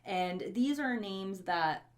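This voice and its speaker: A woman's voice: mid-range voice, animated, slow. A woman from Bosnia, English not her first language, co-hosting a true-crime podcast and reading from notes with brief dry asides. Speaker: podcast co-host.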